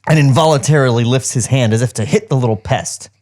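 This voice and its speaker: A man's voice: medium pitch, quick pace, a little mellow. A man co-hosting a podcast, reading script directions aloud with sarcastic asides.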